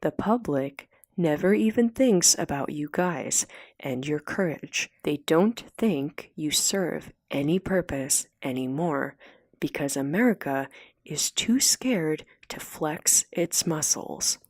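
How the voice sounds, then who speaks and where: A woman voicing a small male henchman who speaks in a slow, hypnotic, coaxing way, as in an audiobook. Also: sits low, animated, a little mellow, no echo, voice pushed down, exaggerated voice. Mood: hypnotic persuasion. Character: smaller male henchman, hypnotic, patriotic, quietly menacing persuader.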